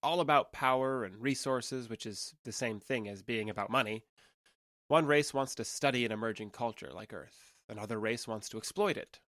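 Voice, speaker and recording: A man's voice: mid-range voice, normal speed, a bit bright, dry recording, lightly acted. A man playing a young alien trader who passes as human in an audio drama, talking in a conversational, earnest and slightly hesitant way. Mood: wry, frustrated. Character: awkward, candid young male alien trader passing as human.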